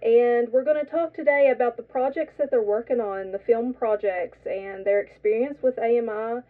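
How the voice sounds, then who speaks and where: A woman's voice: on the high side, normal speed. A woman hosting a radio talk show, asking young guests curious, friendly questions in a studio. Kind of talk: radio interview. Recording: distant mic, narrow phone-like sound.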